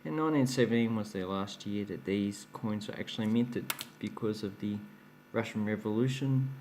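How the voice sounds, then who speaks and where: Male hobby host, lowish voice, faint noise behind, chatty show-and-tell. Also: normal speed, a little mellow. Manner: chatty, explains while handling coins, laughs at his own slips. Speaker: coin collecting channel host. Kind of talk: casual show-and-tell vlog.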